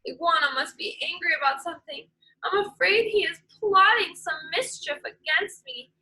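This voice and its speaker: A woman's voice: extremely high pitch, moderate pace, bright, forceful tone, pitched above the performer's own voice, exaggerated voice, poor audio. A woman voicing a big, worried male python in a storybook read-aloud. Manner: fretful, suspicious.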